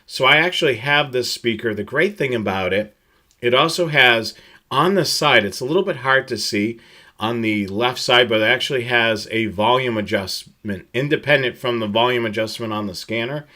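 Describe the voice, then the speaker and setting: A man presenting a product tutorial, explaining gear slide by slide in a halting, steady, instructional way. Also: medium pitch.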